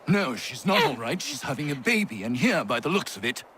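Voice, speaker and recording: Blunt colonial country doctor, wry. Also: male voice, medium pitch, big pitch swings, a little fast, pushed and bright, slight background noise. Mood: wry, relieved. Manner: wry, blunt, plain-spoken.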